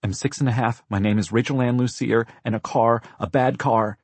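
A man's voice: medium pitch, fairly even intonation, fairly quick, no room sound, pitched above the performer's own voice, close to an everyday voice. Man acting bossy, scared little girl. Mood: earnest. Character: stern, bossy six-year-old girl, frightened but determined.

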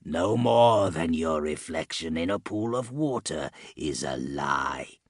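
A man voicing a hulking, wary Rotbringer warrior for an audiobook, speaking slowly and insinuatingly with drawn-out menace. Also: extremely low pitch, expressive, no echo, clean studio sound, pitched below the performer's own voice, heavily acted. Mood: insinuating, ominous.

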